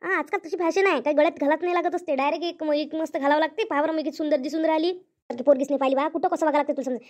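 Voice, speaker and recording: A woman's voice: high voice, fairly even intonation, rapid-fire, lightly acted. A woman voicing a bossy, chattering village woman in a comedy cartoon, scolding in a colloquial Varhadi accent from Vidarbha. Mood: indignant. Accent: Varhadi Marathi from Vidarbha. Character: bossy, chattering village women of a wedding household.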